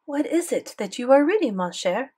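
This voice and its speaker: A doting but commanding old grandmother speaks with a thick French accent, as a woman reader puts her on. Her voice climbs high and swings in pitch as she presses with questions. She sounds imperious and curious at once. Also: sing-song, moderate pace, darkish tone, slightly rough, heavily acted. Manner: inquisitive, imperious.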